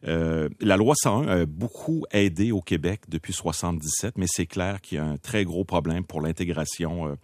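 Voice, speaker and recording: A man with a Québécois French accent debating as a political commentator on a panel, measured and full of hesitations. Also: low-pitched, a little mellow, no echo.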